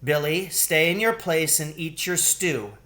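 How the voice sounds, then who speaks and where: A firm, practical mother on a storybook farm, as a man reads her aloud. She is matter-of-fact, and her pitch is raised above the reader's usual one. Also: lively intonation, unhurried, sharp tone.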